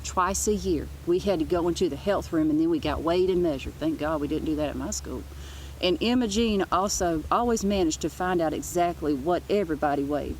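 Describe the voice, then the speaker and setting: Lower-pitched woman reading a children's book. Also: fairly quick, a little hiss or hum, rough recording. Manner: informal, with asides. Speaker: read-aloud storyteller. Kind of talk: narrating a children's book aloud.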